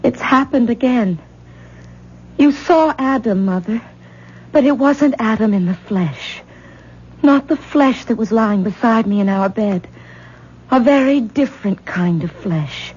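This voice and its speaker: Distraught young wife, high voice, very animated, 1970s radio play, dull sound. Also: female voice, unhurried, breathy edge. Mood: grave, urgent. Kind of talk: 1970s radio drama acting.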